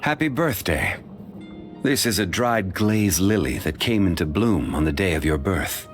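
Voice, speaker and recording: Brief: an ancient stone god, very low and deliberate, formal, faint music under the lines. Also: male voice, lively intonation. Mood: gentle. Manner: measured, formal, unhurried. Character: ancient, composed male stone god, scholarly. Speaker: voice actor. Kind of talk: game character voice lines.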